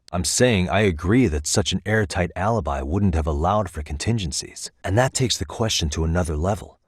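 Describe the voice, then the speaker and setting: An American man voicing a level-headed, thoughtful detective in a video game, with measured, articulate delivery as he reasons aloud. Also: sits low, breathy edge, no echo, studio quality. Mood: explaining, focused. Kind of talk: scripted game dialogue.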